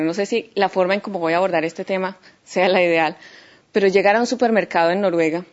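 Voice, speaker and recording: Colombian woman, lower voice, unhurried and reflective with frequent pauses; muffled lo-fi capture. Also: a little mellow. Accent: Colombian Spanish. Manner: thoughtful, hesitant with many fillers and restarts. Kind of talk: unscripted personal account. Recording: dull lo-fi recording.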